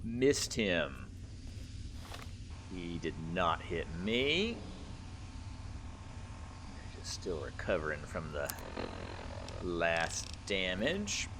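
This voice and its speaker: Deep-voiced man giving lively game commentary. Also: drawn-out words, long pauses. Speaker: gamer, let's play host. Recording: faint game noise behind. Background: video game sounds.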